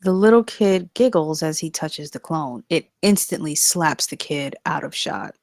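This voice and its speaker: A woman's voice: sits low, animated, measured pace, creaky, darkish tone, no room sound, clean studio sound. A woman narrating the stage directions at a screenplay table read in a scripted style.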